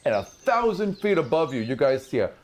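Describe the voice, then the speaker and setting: A man running a tabletop game as game master, improvising casual, animated narration with spoken sound effects. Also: a little high, brisk, noisy background.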